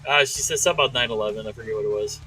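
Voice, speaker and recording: A man in his late thirties chatting casually and profanely as a podcast co-host, telling stories in an animated way. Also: fairly low pitch, racing, breathy edge, faint music behind, rough recording. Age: late 30s.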